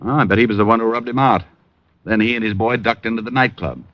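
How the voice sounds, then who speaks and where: An actor playing a tough, wisecracking private detective in a vintage radio crime drama. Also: male voice, fairly low pitch, quick pace, no room sound, lightly acted. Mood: relaxed. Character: wry, tough, quick-witted private detective. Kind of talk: 1940s-50s radio detective drama acting. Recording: dull old radio broadcast recording.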